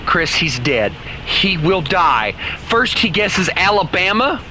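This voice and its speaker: A young man streams a farming game, joking and teasing his chat, his voice sitting a little high. He bursts out in mock exasperation now and then. A clear hiss of noise runs under his desk-mic audio. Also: very animated, slow pace. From Southern United States. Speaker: gaming streamer. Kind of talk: casual live-stream chat with viewers.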